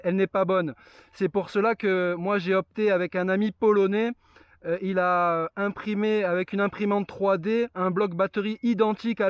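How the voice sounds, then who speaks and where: A man's voice: high voice, clear, resonant tone, no room sound. A man chatting casually and explaining things as a radio operator. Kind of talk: casual radio contact chat.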